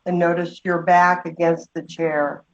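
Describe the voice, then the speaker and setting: A woman leading a guided meditation, giving slow, gentle instructions with long pauses. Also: fairly low pitch, little pitch movement. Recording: dull, muffled lo-fi sound.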